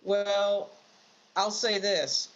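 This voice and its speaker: An American woman of medium pitch speaks through slightly muffled, lo-fi audio. She is a political panelist who argues her case emphatically and without a script. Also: extremely slow. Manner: emphatic, argumentative. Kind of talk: unscripted political commentary.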